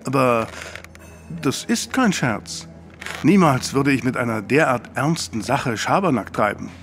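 Persistent suitor around fifty, audio drama. Earnest, hesitant, mid-range voice. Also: male voice, mid-range voice, lively intonation, a bit bright, soft background music. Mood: earnest, casual. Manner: halting, earnest. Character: flustered, persistent middle-aged male suitor, about fifty. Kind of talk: German audio drama acting.

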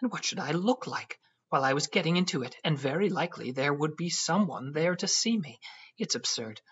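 A man's voice: fairly high pitch, animated, a little fast, fairly bright tone, breathy edge, lo-fi sound, pitched above the performer's own voice. A man voicing a petulant, flighty young wife, raising his voice into a fretful, whiny delivery. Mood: indignant.

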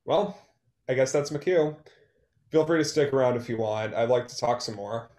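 A man's voice: mid-range voice, normal speed, a little mellow, lightly acted. A man in his twenties playing a hurt, guarded, wry young man facing an old love, in a play read over a video call. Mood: softened. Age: 20s. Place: room at home. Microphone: computer mic on a video call.

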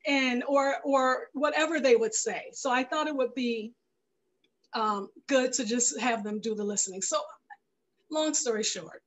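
A woman's voice: on the high side, low-quality recording. A middle-aged woman guest telling her story casually in a video-call interview, often acting out what was said. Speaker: guest filmmaker. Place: indoor room at home, video call. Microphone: headset on a video call.

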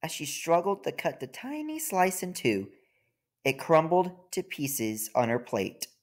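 A man reads a children's story aloud as narrator. He takes his time, and his pitch moves expressively up and down through each sentence. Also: big pitch swings, a little slow. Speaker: storybook reader.

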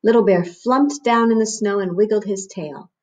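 A woman's voice: mid-range voice, moderate pace, a little mellow. A woman, narrating a picture book expressively for children as a storyteller. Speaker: read-aloud host, storyteller. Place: small room at home, on a couch.

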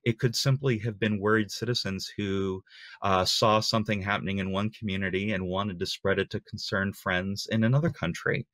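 A middle-aged man giving an online talk in an earnest, measured way, phrase by phrase. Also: on the low side, steady tone, a bit bright, no room sound. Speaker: conference speaker. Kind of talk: lightning talk to an online audience. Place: small home room with bookshelves, indoors. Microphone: webcam video call.

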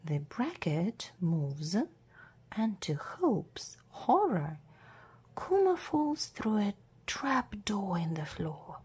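A woman reading a children's adventure storybook aloud as a narrator, in a measured, deliberate way with hushed word endings. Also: mid-range voice, big pitch swings, breathy edge. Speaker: storybook reader.

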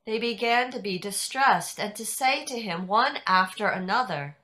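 A woman reads scripture as narrator, deliberate and measured. Her voice is bright and forceful, at a middle pitch. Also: animated. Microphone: video call.

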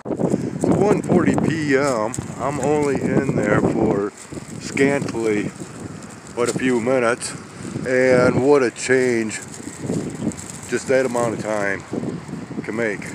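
A slow-talking man rambles along on a wintry walk, tossing out folksy exclamations as he goes. His voice sits at an ordinary middle pitch. Wind buffets the phone mic outside. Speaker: vlogger on a weather walk. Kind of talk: casual walking commentary. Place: outdoors on small-town streets in snow and wind. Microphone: handheld phone camera.

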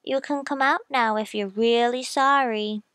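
A woman's voice: on the high side, fairly even intonation, a little slow, fairly bright tone, clear, resonant tone, dry recording. A woman playing an eerie, coolly polite young child in an old-time radio suspense play. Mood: eerily calm.

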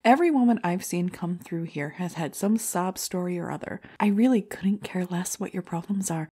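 A woman's voice: fairly low pitch, normal speed, warm tone, slightly rough. A woman playing a bitter, cynical veteran comedian in an audio drama, dismissive and sardonic. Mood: jaded, scornful.

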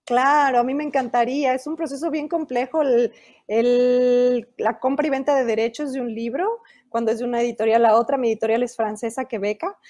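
A fairly high yet mellow-toned Mexican woman in her thirties, speaking with a Mexican Spanish accent. She talks animatedly, gesturing as she explains, in an interview over a video call. Her earphone mic gives a close, dry sound. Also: a little fast, dry recording. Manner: animated, gestures while talking. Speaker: illustrator and children's author. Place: indoor room at home. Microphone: earphone headset mic on a video call.

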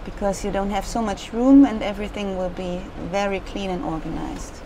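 A woman exhibitor walks a visitor through a compact caravan, explaining each feature plainly. Her phrasing is simple and a little non-native, her pitch mid-range. A steady trade fair noise is clearly heard behind her. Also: brisk, poor audio. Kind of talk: product demonstration.

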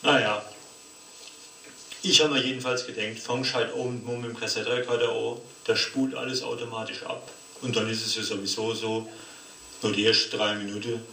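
A man's voice: medium pitch, pushed and bright. A man giving a colloquial, rambling comic monologue with tag questions, played from a cassette recorder. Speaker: poetry slam performer. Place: cassette playback in a cinema hall, picked up from the audience. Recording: distant, echoey, faintly noisy cassette playback in hall.

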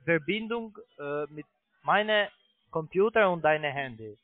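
Through a narrow, tinny phone connection comes a fairly high-voiced man with a foreign accent. He is a non-native speaker giving a sales pitch, halting often and starting his broken sentences over. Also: lively intonation, no room sound. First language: not German. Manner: halting, broken German with repeated restarts. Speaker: phone sales agent, investment scam caller.

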